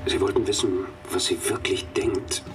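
An actor playing a defensive, evasive East German informer in a radio drama, halting and self-justifying in a breathy half-whisper. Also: male voice, low-pitched, flat delivery, noisy background, natural voice. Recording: distant, under loud music.

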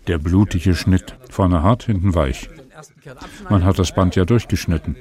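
A man's voice: sits low, measured pace, crowd noise. A man delivering terse narration in clipped, emphatic short phrases. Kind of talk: terse scripted listing narration.

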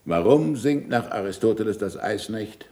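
An actor playing a shrewd, commanding scientist and teacher in a German radio play, ironic and didactic. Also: male voice, fairly low pitch, lively intonation, normal speed, mellow. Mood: probing, didactic. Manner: didactic, probing questions, sardonic. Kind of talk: German stage-style radio play acting.

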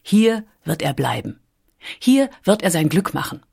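Low, husky female storyteller. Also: very animated, a little slow, dark tone. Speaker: audiobook narrator. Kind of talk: scripted documentary storytelling narration.

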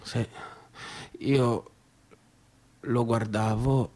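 An actor with a northeastern Italian Veneto accent playing a bitter, rambling son of a small-town businessman, in a halting, colloquial theatrical monologue. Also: medium pitch, steady tone, darkish tone. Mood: rambling, storytelling. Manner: halting, repetitive, confiding, conversational. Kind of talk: theatrical monologue in colloquial dialect-tinged speech.

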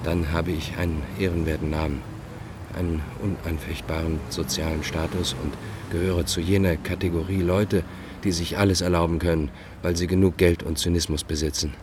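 A deep male voice of an actor plays a fugitive former clerk in a radio play. He is formal, measured and proudly cynical, over a clearly audible bed of street-like background noise. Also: little pitch movement, close to an everyday voice. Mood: coldly self-important. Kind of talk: German radio play acting.

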